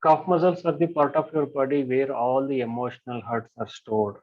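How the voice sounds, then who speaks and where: A man leading a guided body-scan meditation, giving gentle instructions and softly repeating affirmations. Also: mid-range voice, steady tone, moderate pace. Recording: dull lo-fi recording.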